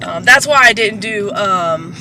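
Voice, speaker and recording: Congested woman vlogging from her car. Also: unhurried. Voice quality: deeper than her usual voice. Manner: rambling, loose, with many fillers. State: congested from allergies. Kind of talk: casual vlog chat. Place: parked or driving car, morning. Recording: steady car noise behind.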